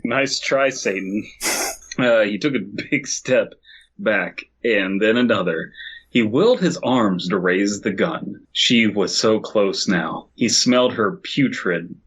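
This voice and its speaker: A man with a slightly husky voice co-hosting a comedy podcast, reading aloud and riffing wryly. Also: on the low side, animated, slow, fairly bright tone. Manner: wry, riffing. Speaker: podcast co-host. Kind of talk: comic read-aloud and banter.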